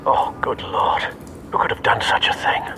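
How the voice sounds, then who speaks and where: An actor playing a dutiful older butler in a video game, proper, concerned and dryly witty. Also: male voice, moderate pace, over loud music, lightly acted, low-quality recording. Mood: alarmed. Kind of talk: cinematic video game acting. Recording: clear background noise and clicks.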